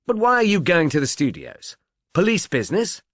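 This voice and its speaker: A man voicing an irritable, witty professor of English in an audiobook, brisk and dryly emphatic. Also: on the high side, huge pitch swings, a bit bright, dry recording, clean studio sound, pitched above the performer's own voice, heavily acted. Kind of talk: audiobook character dialogue.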